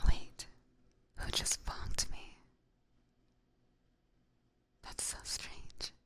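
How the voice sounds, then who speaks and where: A woman livestreaming ASMR, chatting gently to her viewers in a soft, breathy whisper close to a binaural microphone. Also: very slow. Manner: gentle, chatty, giggly, addressing chat.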